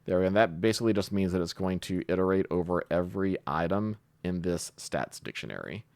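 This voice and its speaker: A man giving a casual screencast coding tutorial, talking haltingly as he thinks aloud while typing. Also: low voice, expressive, darkish tone, no echo. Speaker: programming tutorial host.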